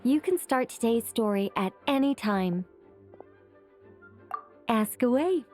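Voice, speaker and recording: Businesslike young woman, game role: fairly high voice, self-assured explainer, soft music behind. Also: lively intonation, slow. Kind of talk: anime game voice acting.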